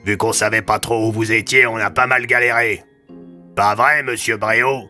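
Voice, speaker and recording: Man playing a bright, slangy talking crow. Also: fairly low pitch, fairly even intonation, moderate pace, faint music behind. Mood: breezy, matey. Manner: slangy, offhand. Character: talking crow, streetwise cheeky male companion. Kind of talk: audio drama storytelling.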